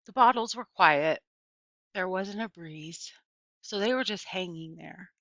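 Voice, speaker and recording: A woman narrates a storybook in a bright, forward voice. The recording is dry and close, with a slightly muffled, lo-fi sound. She reads as a first-person storyteller. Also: fairly low pitch, measured pace, dry recording. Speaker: audiobook reader.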